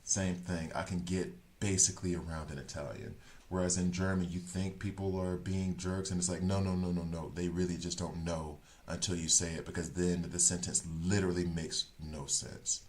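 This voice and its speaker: A man's voice: low voice, steady tone, normal speed, a little mellow. A man streaming a game and chatting casually, rambling, restarting sentences and correcting himself as he thinks aloud. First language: English. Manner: talks things through as he thinks. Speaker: gaming streamer.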